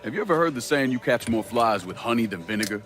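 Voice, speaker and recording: A man playing a tough, calm young vigilante in a crime drama game, speaking in a dry, terse way. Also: fast talker, faint music behind, lightly acted. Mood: wry, firm. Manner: dry, terse, low-key. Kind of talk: dramatic video game acting.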